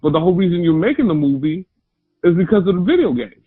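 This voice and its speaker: Brief: slightly higher husky male voice, hesitant talker, tinny phone-like sound. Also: big pitch swings, dry recording. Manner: halting, many fillers and restarts. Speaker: podcast panelist. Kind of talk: casual chat.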